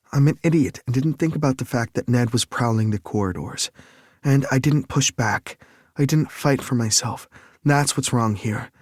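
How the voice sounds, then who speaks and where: Male narrator doing a heated young woman, pitched up and raised, audiobook character. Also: darkish tone, no echo, clean studio sound, natural voice. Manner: raised, emotional outbursts. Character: young woman, hurt and defiant.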